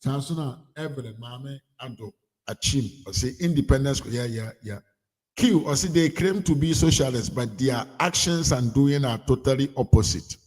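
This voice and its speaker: A man's voice: mid-range voice, normal speed, darkish tone. Ghanaian man reading viewer comments. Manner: reads out comments one after another, mixing Twi and English. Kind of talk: casual live-stream chat.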